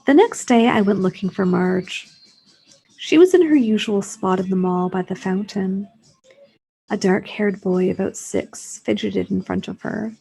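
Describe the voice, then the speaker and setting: A woman's voice: medium pitch, a little slow, vocal fry, warm tone, low-quality recording. A woman reading a literary short story aloud in the first person, as a scripted narration.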